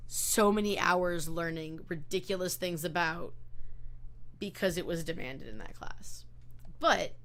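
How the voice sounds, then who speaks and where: Lowish-voiced woman host, loose and rambling, on a video call. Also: measured pace, fairly bright tone. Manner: chatty, rambling, many fillers and restarts. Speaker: book club host. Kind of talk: casual book discussion over a video call.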